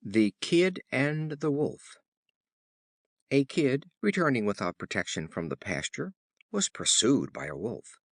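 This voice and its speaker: A man reading children's fables as an audiobook narrator, in a measured, formal storybook style. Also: mid-range voice, very animated, no echo, studio quality.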